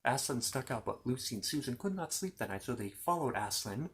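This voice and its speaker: Man, mid-pitched voice, ASMR storytelling, informal, rambling, playful asides, courteous to the listener. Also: very animated, fairly quick. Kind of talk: ASMR storytelling, informal retelling of a children's fantasy tale.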